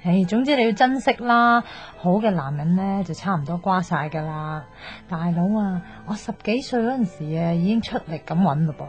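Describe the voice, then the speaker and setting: A woman's voice: on the low side, moderate pace, few pauses, lightly acted. An actress playing an outspoken, teasing young woman who gives love advice, chatty and sighing, with a Hong Kong Cantonese accent in a radio drama. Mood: nosy, advising. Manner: chatty, blunt, sighing, mock-exasperated. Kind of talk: naturalistic radio drama acting. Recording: slightly dull, music underneath.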